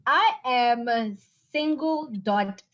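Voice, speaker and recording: Woman in her 20s, high voice, slow and giggly on a video call. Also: muffled recording. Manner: giggly, drawn-out. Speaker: guest.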